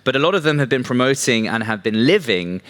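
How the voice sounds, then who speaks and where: A man's voice: mid-range voice, lively intonation, fairly quick, fairly bright tone. Chatty man hosting a live stage show. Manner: chatty, jokey, works the crowd. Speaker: show host. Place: stage at a live event with an audience.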